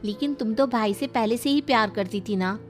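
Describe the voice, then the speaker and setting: A woman's voice: fairly high pitch, moderate pace, soft background music, natural voice. A woman voicing a spiteful, scheming young sister-in-law in a cartoon family story, insistent and complaining.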